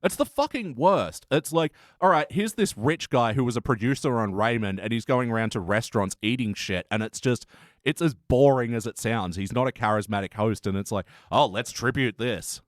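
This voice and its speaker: A man's voice: mid-range voice, lively intonation, moderate pace, no echo. A man hosts a casual review podcast in a mid-range voice. He talks informally and rambles through his opinions. The sound is dry, with hardly any room to it. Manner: rambling, informal, swears freely. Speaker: podcast host reviewing TV episodes.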